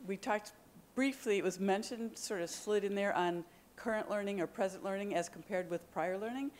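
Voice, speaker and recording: A woman's voice: mid-range voice, normal speed, darkish tone. A woman from the program staff giving a friendly, explanatory address to new students. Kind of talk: orientation address.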